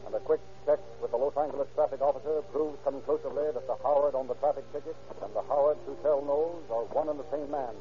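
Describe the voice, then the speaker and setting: Male narrator, vintage radio drama, brisk and dramatic, dull old recording. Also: medium pitch, fairly even intonation, a little hiss or hum.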